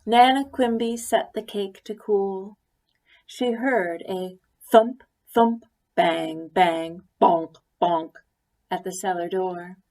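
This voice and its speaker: Woman, storybook read-aloud, slow deliberate delivery, mid-range pitch, pauses for emphasis. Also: a little mellow. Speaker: story reader. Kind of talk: expressive picture-book read-aloud.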